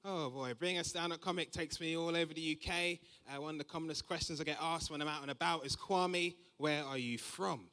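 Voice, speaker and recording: Higher-voiced London stand-up man in a hall. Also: steady tone, quick pace, few pauses, pushed and bright, clean tone. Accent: London English. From Southeast London, England. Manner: conversational, acts out quoted remarks. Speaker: stand-up comedian. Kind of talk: live stand-up comedy, observational anecdotes. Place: large comedy venue, on stage. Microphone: stage microphone. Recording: reverberant hall, live stage microphone.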